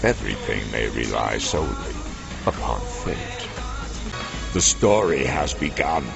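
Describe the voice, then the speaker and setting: An actor playing a grave, brooding narrator of a dark fantasy saga, solemn and measured in scripted narration, with a gravelly voice. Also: male voice, sing-song, full character voice. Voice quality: deep, gravelly. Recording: dull audio with clear background music.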